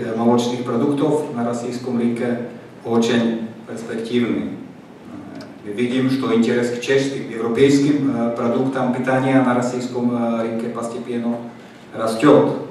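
Male diplomat, monotone, lots of "uh"s, distant and reverberant in a large hall. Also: mid-range voice, a little hiss or hum. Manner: steady, flat delivery with many fillers. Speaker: embassy representative. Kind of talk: formal welcome speech. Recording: echoey hall from far off.

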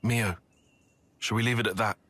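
Stern male rival soldier for a game, formal, raspy, close dry sound. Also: on the low side, fairly even intonation, fairly bright tone, no room sound. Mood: cool, formal. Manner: formal, measured wording. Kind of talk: video game voice acting.

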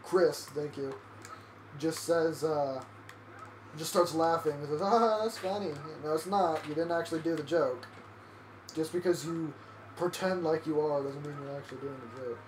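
Young dude with a high voice ranting while gaming, echoey room. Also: male voice, steady tone, normal speed. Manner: self-mocking, ranting. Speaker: let's play co-host. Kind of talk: casual gaming chat. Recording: echoey room, faint hiss.

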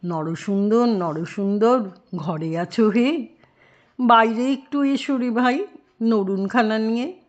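A woman's voice: fairly high pitch, dull audio, voice pushed up. Woman voicing a sly jackal trickster, theatrical singsong. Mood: plaintive. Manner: theatrical, drawn-out singsong calls. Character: sly cunning male jackal pundit, mocking trickster. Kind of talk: children's storytelling.

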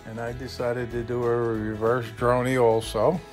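A man's voice: mid-range voice, little pitch movement, moderate pace, soft background music. A middle-aged man hosting an upbeat vlog, talking and narrating. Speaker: vlog host.

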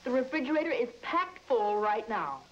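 An actress playing an exasperated, teasing housewife in a vintage commercial, on a muffled, noisy old recording. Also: female voice, fairly high pitch, big pitch swings, normal speed. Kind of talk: vintage commercial dialogue acting.

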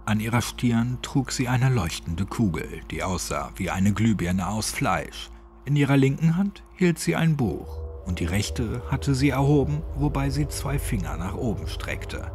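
A man's voice: medium pitch, animated, measured pace, a little mellow, slight background noise. A man reading a scripted horror story as an audiobook narrator. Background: faint music.

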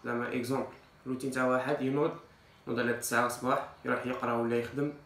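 A man's voice: medium pitch, level tone, very fast, vocal fry, a bit bright, reverberant. A man in his twenties with an Algerian Arabic accent gives casual fitness advice to camera, mixing in French loanwords, recorded in a tiled room. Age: 20s. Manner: informal, mixes in French loanwords. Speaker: fitness vlogger. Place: small tiled kitchen, indoors.

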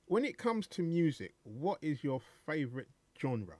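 A middle-aged man hosting a casual interview from a home studio mic, asking questions in a relaxed way with lots of short replies. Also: fairly high pitch, normal speed, background noise. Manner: relaxed, friendly questioning, many short backchannels. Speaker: host. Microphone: studio mic on boom arm.

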